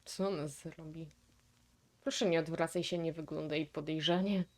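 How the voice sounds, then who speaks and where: A woman's voice: deep voice, fairly even intonation, moderate pace, a little mellow. A woman with a Polish accent giving casual reaction commentary as a video host, musing and thinking aloud.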